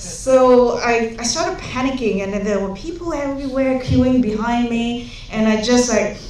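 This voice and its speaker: A woman's voice: on the high side, normal speed, darkish tone, a little hiss or hum. Woman from Singapore, young adult, humorous conference speaker; distant, roomy hall sound. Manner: humorous, chatty storytelling with many fillers. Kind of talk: conference talk with anecdotes. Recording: echoey hall, speaker far from mic.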